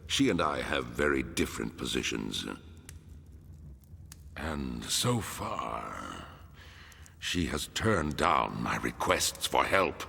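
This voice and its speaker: Deep gravelly imperious castle lord. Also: male voice, very animated, takes it slowly, long pauses, bright, forceful tone, long reverb tail, heavily acted. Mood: measured, persuasive. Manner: haughty, theatrical. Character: imperious, impatient middle-aged lord of a castle. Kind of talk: dramatic video game voice acting.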